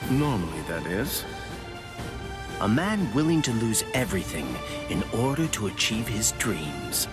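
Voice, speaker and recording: A man's voice: lowish voice, sing-song, measured pace. An actor playing a sly, playful man in an anime game, teasing and drawling with mock innocence and theatrical curiosity. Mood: sly musing. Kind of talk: anime video game voice acting. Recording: music behind. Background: background music.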